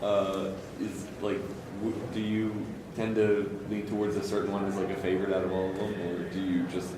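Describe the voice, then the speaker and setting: Very deep-voiced man, distant and echoey. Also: completely flat delivery, normal speed, crowd noise. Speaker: audience member asking a question. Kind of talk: casual, halting spoken question. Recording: distant, very echoey room, clear noise.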